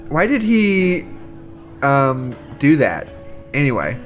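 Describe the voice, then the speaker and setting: A man acting as a concerned coworker in a naturalistic audio drama, casual, halting and full of questions. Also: medium pitch, wildly swooping pitch, faint music behind. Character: concerned, hesitant male coworker chatting at a bar.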